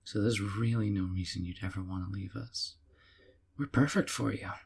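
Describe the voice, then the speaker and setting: An actor playing a sweetly possessive young yandere man in an audio roleplay, doting and softly teasing. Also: fairly low pitch, steady tone, moderate pace, creaky, slightly rough, exaggerated voice. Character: doting obsessive young male yandere captor.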